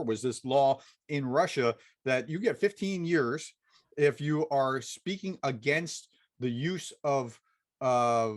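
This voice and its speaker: A man talking as a guest on a podcast in a casual, chatty way with halting restarts. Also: mid-range voice. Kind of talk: conversational interview.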